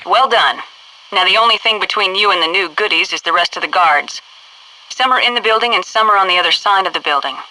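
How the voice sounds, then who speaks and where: Sharp, forceful military HQ instructor woman. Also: medium pitch, fairly quick, slight background noise, rough recording. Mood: brisk, instructive. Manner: commanding, clipped, instructional. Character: stern, brisk military headquarters training instructor, adult woman. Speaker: mission control voice giving tutorial orders. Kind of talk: scripted video game military briefing.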